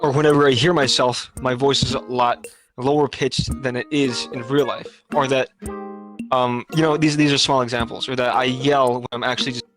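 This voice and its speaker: Guy on a podcast call, rambles a lot, lots of ums and restarts, middle pitch. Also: steady tone, fairly bright tone, faint music behind. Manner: rambling, halting, many fillers and restarts. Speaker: podcast host. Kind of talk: casual voice-call chat.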